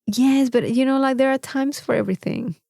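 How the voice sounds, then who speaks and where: High-voiced Mexican woman chatting casually. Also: fairly quick, vocal fry, a little mellow, no room sound, studio quality. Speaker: podcast co-host. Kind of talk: casual chat.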